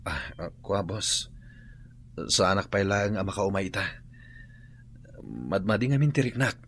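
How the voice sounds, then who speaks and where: An actor playing a scheming, work-shy bandit underling in an Ilocano radio drama, hesitant and furtive, with an Ilocano accent. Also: male voice, low-pitched, lively intonation, measured pace, fairly bright tone, exaggerated voice. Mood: feigning weakness. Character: shirking, scheming bandit underling feigning sickness.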